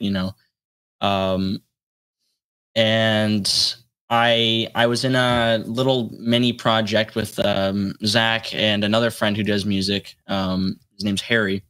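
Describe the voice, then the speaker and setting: A young adult man chatting casually as an interview guest, with a halting, rambling delivery. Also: lowish voice, a bit bright. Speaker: guest, solo electronic musician.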